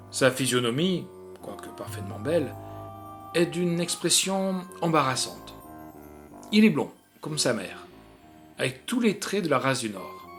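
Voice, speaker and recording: A man narrating a literary short story in an expressive, theatrical way with deliberate phrasing. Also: mid-range voice.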